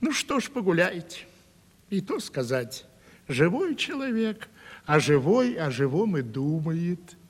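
An actor in a 1970s Russian radio play as a sly, gossipy manservant, teasing and insinuating in a folksy way. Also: male voice, fairly high pitch, big pitch swings, measured pace, breathy edge, big-room sound, full character voice. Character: sly, gossipy, truth-telling male manservant, needling and folksy.

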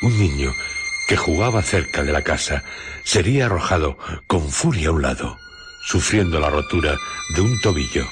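A man with a Castilian accent narrating a scripted radio drama in a measured, solemn storytelling manner. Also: very deep voice, animated. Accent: Castilian Spanish. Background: music.

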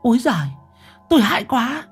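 A shrill, frantic old village wife, as a male storyteller puts her on, stammering and tripping over her words. Her voice jumps high and swings wildly in pitch. Faint music runs underneath. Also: sing-song, brisk, breathy edge, full character voice. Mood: scolding.

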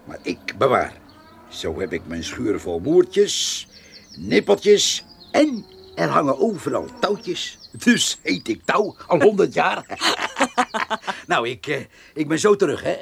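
A man's voice: a little high, sing-song, slow pace, creaky, fairly bright tone, a little hiss or hum, heavily acted. An actor in a radio drama playing a chatty, long-winded old village handyman who hesitates and loves to gossip. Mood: folksy, amused. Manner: long-winded, hesitant, chuckling rural storyteller.

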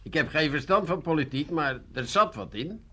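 A sly, deferential man in a comic radio play. His voice is sharp and fairly high. He phrases things formally and carefully, with insinuation. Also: animated, normal speed. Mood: sly, polite.

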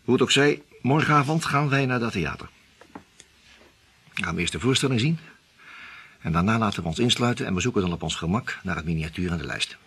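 A determined detective from an old radio play, reasoning things through aloud in earnest, as a man plays him. His voice is deep and a little husky, and it rises and falls widely. He sounds serious and steady. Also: fairly quick, long pauses, full character voice. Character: determined male detective, level-headed.

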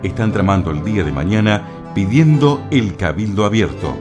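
A man's voice: lowish voice, expressive, moderate pace. A man reading a solemn scripted narration as a radio drama announcer. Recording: guitar music behind the voice. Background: plucked guitar music.

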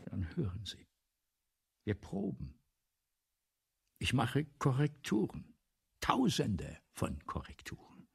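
A man's voice: medium pitch, wildly swooping pitch, slow, pauses often, full character voice. A male actor in a radio play playing a vain, ailing, agitated playwright who rambles imperiously and swings between muttering and outbursts. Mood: agitated, rambling. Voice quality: husky, gravelly. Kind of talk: 1970s German radio play acting.

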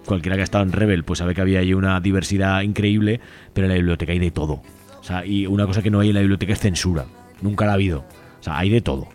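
Spanish man, radio guest, low relaxed voice, very quick colloquial delivery. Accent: Spanish from Spain. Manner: enthusiastic, colloquial, rambling, often seeking agreement. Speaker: radio guest from a community library collective. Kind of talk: casual spoken radio interview.

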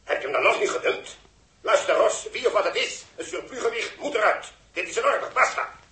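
A man's voice: high voice, lively intonation, brisk, pushed and bright. A man acting a stern, agitated flight controller and father in 1960s radio drama, urgent and emotional, in an echoey old recording. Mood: commanding, impatient.

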